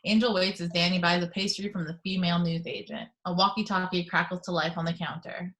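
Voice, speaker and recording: A woman's voice: lowish voice, flat delivery, brisk, fairly bright tone. A woman reading scene headings and action lines as narrator of a script table read.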